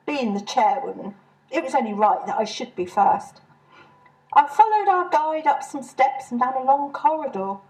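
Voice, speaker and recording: Higher-voiced woman reading a humorous story. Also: a little mellow, low-quality recording. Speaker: author reading own short story. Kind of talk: humorous scripted first-person story narration.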